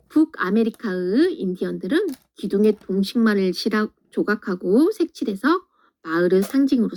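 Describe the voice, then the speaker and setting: Teacher lady reading a picture book to little kids, gentle and sing-song, mellow tone, kinda high.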